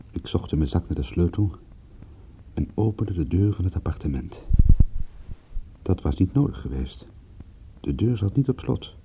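An actor playing a world-weary, reluctant private detective who tells his story in a brooding, measured radio drama narration with a husky voice. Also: fairly low pitch, a little hiss or hum. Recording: muffled, phone-like old radio play recording.

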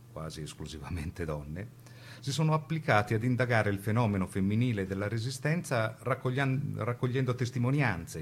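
A man giving an emphatic, rhetorical scripted narration for a radio documentary play, with weighted pauses. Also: lowish voice, slight background noise.

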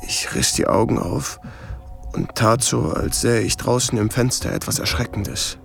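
Gravelly, haunted man recounting war. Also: mid-range voice. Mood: grave, tense. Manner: terse, measured storytelling. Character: haunted half-American man recounting a wartime killing. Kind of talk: radio drama first-person narration. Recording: loud music under the voice.

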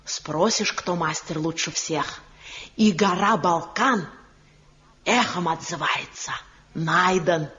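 An actress playing an older village mother in a radio drama, gossipy, folksy and excitable, doting on her son. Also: fairly low pitch, takes it slowly. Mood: fond, chatty. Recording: muffled old radio play recording, music behind.

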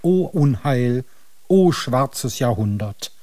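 An actor playing the dramatic, declamatory narrator of a grotesque, darkly comic radio tale. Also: male voice, medium pitch, expressive, takes it slowly, heavy background noise. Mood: dramatic storytelling. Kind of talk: theatrical radio drama storytelling.

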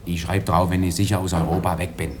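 An actor playing a cagey, wheedling brother on the run in a radio crime play. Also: male voice, sits low, little pitch movement, fast talker, natural voice. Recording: clearly noisy background.

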